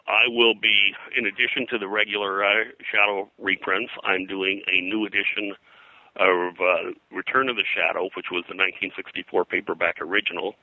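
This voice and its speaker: An older man, a pop culture historian being interviewed, reminiscing in a halting, digressive way full of detail. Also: low-pitched, little pitch movement, sharp tone, no echo, rough recording. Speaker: pop culture historian and comics colorist, guest.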